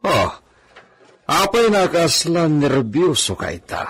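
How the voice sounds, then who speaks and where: Guy doing a bossy rich hacienda boss in a radio play, raspy, animated. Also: fairly high pitch, slow, exaggerated voice. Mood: commanding. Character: authoritative wealthy master of a hacienda. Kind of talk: radio drama acting in dialogue.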